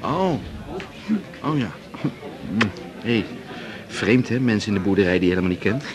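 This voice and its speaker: A man's voice: lowish voice, animated, moderate pace, natural voice. Actor as a wry man amid party chatter. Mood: amused, casual. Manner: casual, wry. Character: chatty, wry, casual male party guest. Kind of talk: naturalistic Dutch radio drama acting.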